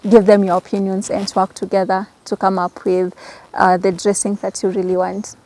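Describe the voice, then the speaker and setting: A woman's voice: medium pitch, completely flat delivery, moderate pace, mellow. A young Kenyan woman, a native Kikamba speaker, talking casually and personally as an interview guest, repeating words for emphasis.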